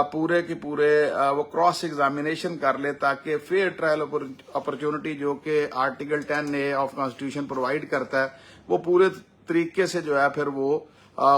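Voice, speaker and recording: A man with a Pakistani accent giving a semi-formal explainer as a lawyer, speaking deliberately with drawn-out hesitations. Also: a little high, fairly bright tone.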